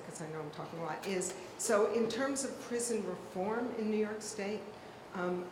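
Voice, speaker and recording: A woman's voice: lowish voice, noisy background. A woman in her sixties speaking passionately and emphatically as a panelist at a public talk. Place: large hall at a public event. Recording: echoey hall, live audience ambience.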